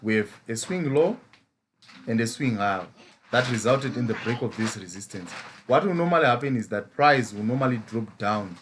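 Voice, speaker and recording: A man's voice: mid-range voice, expressive, normal speed, fairly bright tone, a little hiss or hum. A man from Zimbabwe with a Zimbabwean English accent, a trading mentor who explains chart patterns in a live lesson and keeps asking rhetorical "as a what?" questions.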